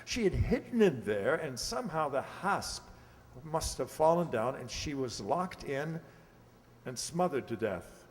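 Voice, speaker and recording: A man's voice: mid-range voice, big pitch swings, unhurried. An actor playing a flustered, hesitant middle-aged English lord and father in a radio play. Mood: anxious, confiding. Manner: hesitant, fussy, stammering. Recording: echoey hall, long reverb.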